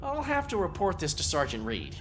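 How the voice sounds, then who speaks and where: An actor playing a good-natured desk police officer in a video game, chatty, wry and a little hesitant. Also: male voice, high voice, huge pitch swings, brisk, fairly bright tone, soft background music, full character voice. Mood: helpful. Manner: hesitant, wry, eager small talk.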